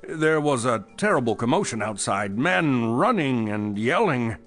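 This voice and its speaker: Male for rattled company boss, pulp audio drama; nervous, animated intonation, mid-range voice. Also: medium pitch, a little slow, faint music behind. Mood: frightened, agitated. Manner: nervous, hesitant, defensive. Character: frightened, shaken male chemical company boss under police questioning.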